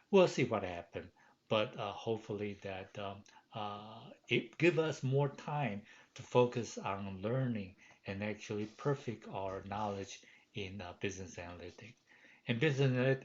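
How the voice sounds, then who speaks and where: A middle-aged man from Taiwan, a professor giving a course welcome lecture from his home office, with many fillers and pauses. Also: lowish voice. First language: Chinese. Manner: frequent hesitations and fillers, earnest. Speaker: university professor. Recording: dull lo-fi home recording.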